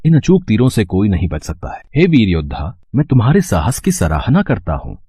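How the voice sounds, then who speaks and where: Man voicing a deep, stately, all-knowing god. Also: huge pitch swings, warm tone, breathy edge, full character voice. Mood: grave, deliberate. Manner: measured, stately, formal. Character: calm, all-knowing male god, shrewd and majestic. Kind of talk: scripted mythological storytelling drama.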